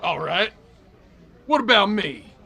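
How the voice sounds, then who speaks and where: Man acting self-important frontier deputy, high gravelly voice, forceful, blustering, radio western. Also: lively intonation, slow, heavily acted.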